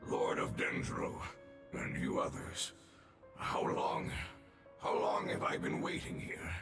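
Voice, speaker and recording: An actor voicing an ancient, dying male guardian who speaks in a grave, measured, breathy whisper. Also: lightly acted. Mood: solemn. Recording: echoey and distant, game music behind.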